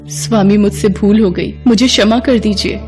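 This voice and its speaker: A woman voicing a gentle, humble goddess in a devotional story, speaking respectfully and earnestly. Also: mid-range voice, steady tone, normal speed, over music, close to an everyday voice. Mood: apologetic.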